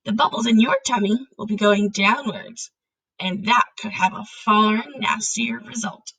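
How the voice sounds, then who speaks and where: A woman's voice: medium pitch, unhurried, vocal fry, fairly bright tone, husky, full character voice, low-quality recording. A woman voicing a curious, polite and patient young girl in a storybook, asking and answering questions. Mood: polite explaining.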